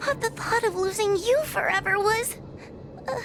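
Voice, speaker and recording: A woman's voice: very high voice, moderate pace, background noise. A woman playing a timid, tearful young fox girl in a video game, sweet and apologetic, with a hesitant, trembling, plaintive delivery. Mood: plaintive, tender. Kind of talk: animated video game voice acting. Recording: voice over loud game music. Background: game music.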